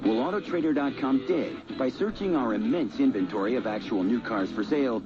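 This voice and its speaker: A man reading scripted narration as a radio commercial announcer. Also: mid-range voice. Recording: dull radio ad over music.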